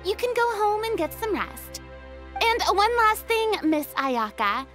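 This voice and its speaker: A woman's voice: very high voice, very animated, measured pace, fairly bright tone, exaggerated voice. A woman playing an effusive, dramatic young female director in a video game, theatrical in delivery, with music behind her. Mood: flattering, eager.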